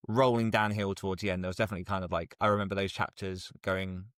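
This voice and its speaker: A man's voice: deep voice, flat delivery, fast, fairly bright tone, clear, resonant tone, no room sound, clean studio sound. A man hosting a podcast in casual conversation, informal and amused. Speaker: podcast host. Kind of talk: casual interview chat.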